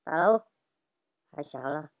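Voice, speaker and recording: Casting: female actor for an obedient, deadpan henchman, low voice, Hong Kong accent, comic radio play, dry studio sound. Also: unhurried, no room sound. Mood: deferential. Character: deferential, matter-of-fact gang underling. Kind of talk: comic radio drama acting.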